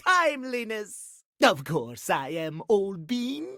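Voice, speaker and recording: A fussy gentleman for a video game. He is pompous and condescending, with old-fashioned, clipped phrasing. His pitch moves around dramatically, and the recording is very dry. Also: sing-song, slow pace, no echo, heavily acted. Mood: smug, lecturing. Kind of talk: video game character voice acting.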